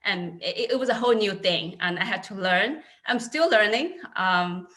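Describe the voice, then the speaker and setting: A woman's voice: mid-range voice, lively intonation, normal speed, fairly bright tone. A woman in her thirties from China with a Chinese accent, speaking candidly as an accounting panelist over a video call. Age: 30s. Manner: earnest, candid storytelling. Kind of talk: casual panel talk.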